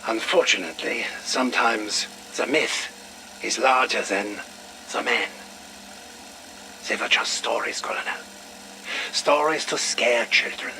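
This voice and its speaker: An actor playing a guarded, formal doctor with a cryptic, ominous manner, in a video game audio log. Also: male voice, mid-range voice, measured pace, pauses often, sharp tone, a little hiss or hum, rough recording.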